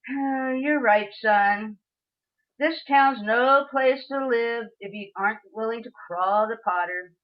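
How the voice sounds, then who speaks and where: A woman playing a gentle, earnest older father in an amateur radio play, speaking sincerely and a little haltingly. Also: fairly high pitch. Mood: earnest, resigned. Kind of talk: community theatre radio play acting. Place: remote video call. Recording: dull lo-fi video call audio.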